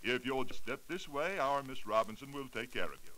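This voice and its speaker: A man's voice: mid-range voice, lively intonation, fairly quick, sharp tone, poor audio. A man playing a fawning, persuasive beauty salon salesman in a 1940s radio sketch. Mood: ingratiating. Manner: effusive, obsequious, persuasive. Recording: hissy 1940s radio recording.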